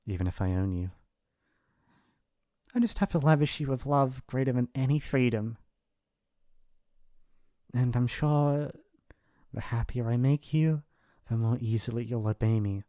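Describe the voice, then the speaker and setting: A man's voice: mid-range voice, unhurried, pauses often, dark tone, dry recording, studio quality, close to an everyday voice. A man playing a possessive, lovestruck and shy mafia boss's son in an intimate, earnest romantic ASMR roleplay. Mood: possessive, tender.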